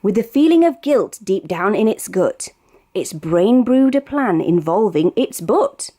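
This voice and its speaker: A woman with a British accent reads a rhyming children's picture book aloud in an expressive, sing-song storytelling way. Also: medium pitch, measured pace. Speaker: storybook reader.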